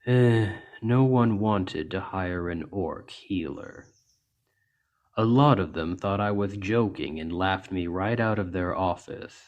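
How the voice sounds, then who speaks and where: A man voicing a large, gentle orc healer in an ASMR roleplay, speaking in an earnest, measured and reassuring way. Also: low-pitched, highs cut off. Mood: resigned, steady. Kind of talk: ASMR roleplay, soft storytelling to an injured listener.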